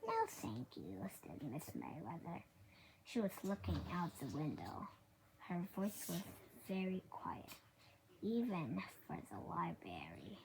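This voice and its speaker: A woman reading a children's book aloud in a halting, deliberate way. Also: lowish voice, wildly swooping pitch, vocal fry, noisy background. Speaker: storybook reader.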